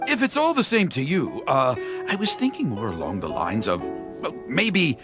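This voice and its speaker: Excitable theatrical storyteller, swooping pitch. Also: male voice, normal speed. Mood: eager, coaxing. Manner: flamboyant, dramatic, grandiose narrating flourishes. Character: excitable theatrical male storyteller gathering heroic material. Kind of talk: video game voice acting. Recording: music under the voice.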